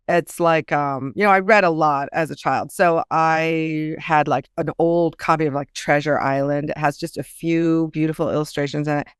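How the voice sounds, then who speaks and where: A woman speaking casually as an author being interviewed, telling things in an animated storyteller's way. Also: lowish voice, smooth, no room sound, clean studio sound. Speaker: author guest.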